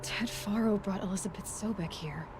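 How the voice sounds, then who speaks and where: An actress playing a determined, curious young huntress in a video game, musing aloud to herself. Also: female voice, mid-range voice, moderate pace, over loud music, close to an everyday voice. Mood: pondering. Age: young adult.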